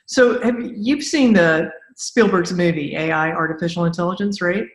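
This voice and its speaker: A woman's voice: lowish voice, moderate pace. Effusive woman podcast host, echoey sound. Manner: effusive, enthusiastic. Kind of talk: conversational podcast interview.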